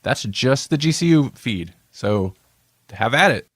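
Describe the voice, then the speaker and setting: A man's voice: mid-range voice, animated, measured pace, dry recording, clean studio sound. A male podcast host in casual banter, playful and rambling, laughing often.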